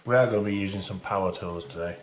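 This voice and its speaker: Hoarse low-voiced man. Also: quick pace. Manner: relaxed, chatty, talks through each step. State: hoarse from a cold. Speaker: channel host. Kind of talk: casual hands-on repair commentary. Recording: roomy echo with faint music.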